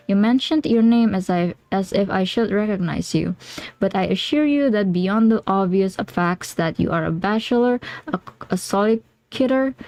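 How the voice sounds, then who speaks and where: A woman voicing a calm, clever Victorian male detective who speaks in a measured, precise way. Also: mid-range voice, no echo, close to an everyday voice, low-quality recording.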